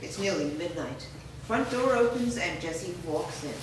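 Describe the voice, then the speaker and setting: A woman's voice: lowish voice, expressive, measured pace. A woman reading stage directions as scripted narration. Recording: echoing room, distant mic, clear background noise.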